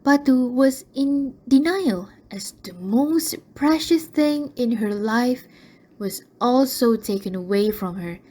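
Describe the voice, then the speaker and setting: A woman's voice: a little high, lively intonation, takes it slowly, mellow. A woman narrating a scripted radio drama in a storytelling style.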